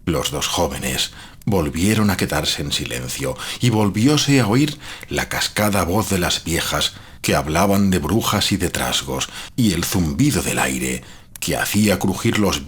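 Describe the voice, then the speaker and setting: Casting: male narrator for gothic horror, deep and heavily rasping, grave, literary, deliberate. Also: expressive, a bit bright. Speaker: storyteller.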